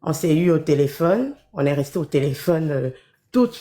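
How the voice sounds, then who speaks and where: A woman caller on the phone with the flu, speaking weakly and haltingly, with many fillers. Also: low-pitched, animated, dark tone. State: has the flu, weak voice.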